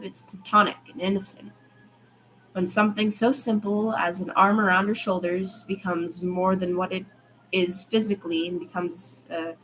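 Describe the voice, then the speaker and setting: A woman's voice: mid-range voice, slight background noise, poor audio. A woman reading fiction aloud as an amateur narrator, sometimes stumbling and rereading phrases.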